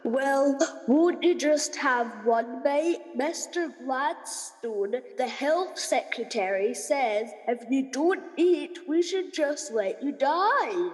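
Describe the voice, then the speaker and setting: A woman's voice: sits high, lively intonation, takes it slowly. A woman acting an exasperated working-class prison guard in a comic radio play, blunt and colloquial, given to pleading. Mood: coaxing. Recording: very reverberant, hall-like sound.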